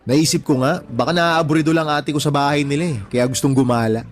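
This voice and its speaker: A man's voice: fairly high pitch, fairly even intonation, fast, close to an everyday voice. An actor playing a married father, a sympathetic friend chatting matter-of-factly in a radio drama. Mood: amused recounting. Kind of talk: Tagalog radio drama dialogue.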